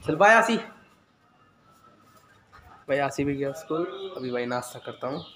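A hoarse teenage boy with a sore throat, chatting casually to his viewers in a home vlog filmed on a phone held at arm's length. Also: medium pitch, lively intonation, a little slow, a crowd in the background. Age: teens. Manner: chatty, filler-heavy, addresses viewers as "bhai" and "guys". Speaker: vlogger. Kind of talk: casual day-in-the-life vlog. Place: small home rooms indoors. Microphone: phone camera held at arm's length.

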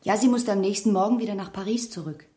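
An actress as a mellow-voiced young village wife. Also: female voice, medium pitch, quick pace, lightly acted. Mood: forthcoming. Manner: forthcoming, matter-of-fact. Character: earnest young village wife and anxious mother. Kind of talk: German radio play acting.

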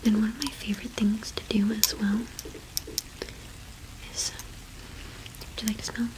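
A young woman doing an ASMR shopkeeper roleplay in a gentle, unhurried whisper with pauses, close to the microphone. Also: a little high, steady tone, background noise. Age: young adult. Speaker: ASMR performer playing a cottage witch shopkeeper.

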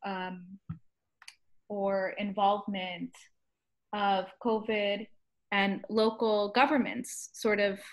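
Woman moderating a webinar Q&A. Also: medium pitch. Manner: measured, with soft ums. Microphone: video call.

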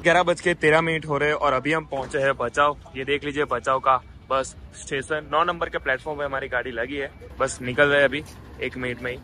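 A man's voice: mid-range voice, fairly even intonation, fairly quick, pushed and bright, slight background noise. A man narrating a travel vlog outdoors at bus stations at night, pointing things out to viewers he calls friends. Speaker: travel vlogger.

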